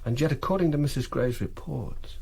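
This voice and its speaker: An actor playing a shrewd, eager German intelligence colonel in a wartime radio drama, with a measured, authoritative delivery. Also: male voice, mid-range voice, animated, gravelly, darkish tone, husky. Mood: confident, explaining.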